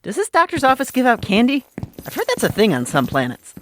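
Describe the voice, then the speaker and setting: A man's voice: very high voice, huge pitch swings, a little fast, a little hiss or hum, exaggerated voice. A man playing a dramatic, cocky, wisecracking prisoner in a comic sci-fi audio drama, whining flippantly. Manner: theatrical, whiny, flippant. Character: dramatic, cocky, wisecracking space prisoner and self-styled medic.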